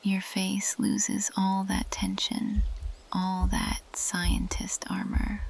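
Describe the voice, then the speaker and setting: A woman playing an ancient, possessive plant monster girl in an intimate ASMR roleplay, speaking in a breathy, lingering whisper. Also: mid-range voice, monotone, slow, full character voice, low-quality recording. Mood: teasing, predatory.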